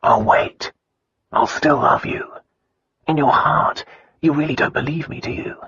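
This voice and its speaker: An actor playing a tender, commanding husband in a romance audiobook, speaking earnestly and insistently. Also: male voice, medium pitch, normal speed, pushed and bright, muffled recording, slight background noise, lightly acted.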